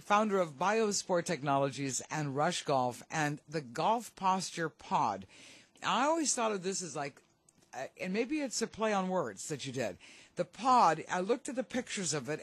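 A woman's voice: fairly low pitch, very animated, moderate pace, breathy edge, no room sound. A woman hosting a radio show in a chatty, informal conversational style while getting over a cold. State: has a lingering cold. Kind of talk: chatty sports radio interviewing.

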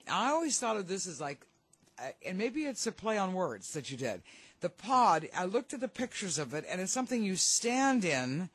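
A woman hosting a radio golf show, chatty and quick to laugh, with a cold. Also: lowish voice, no echo. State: has a cold. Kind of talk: casual radio interview.

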